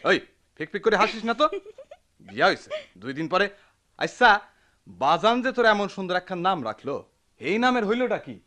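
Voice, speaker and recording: An elder brother in a village radio drama, chiding with comic indignation. His voice is high and sharp, with very lively intonation. He speaks rural Bangladeshi dialect. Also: a little fast, long pauses, full character voice. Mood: scolding, amused. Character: scolding, mock-embarrassed elder brother of village wife.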